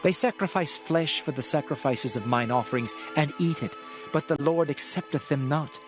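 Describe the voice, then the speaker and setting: A man's voice: on the high side, normal speed, close to an everyday voice. A man acting a stern, wrathful prophet over music. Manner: solemn, declamatory. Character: stern male prophet voicing an angry, grieving God. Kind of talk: dramatized scripture reading.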